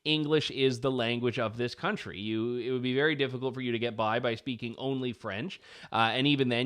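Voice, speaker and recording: A man's voice: mid-range voice, fairly bright tone. A Canadian man hosting a political commentary show, talking in a wry, casual way. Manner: wry, casual with frequent "like" fillers. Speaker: host.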